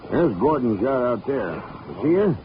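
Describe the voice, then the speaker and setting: An actor playing a gruff old boat captain who talks in nautical slang, in an old radio drama with a dull, hissy recording. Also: male voice, medium pitch, very animated, moderate pace, natural voice. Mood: salty, gruff. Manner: nautical slang, calls others "Sonny". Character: gruff old male boat captain, salty sea talk. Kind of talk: 1940s radio acting.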